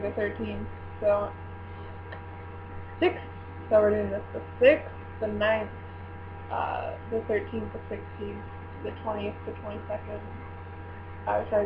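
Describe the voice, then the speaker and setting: A teenage girl chatting casually to her viewers, rambling with many fillers and correcting herself as she goes. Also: medium pitch. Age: teens. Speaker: vlogger running a book club. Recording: tinny lo-fi with steady mains hum.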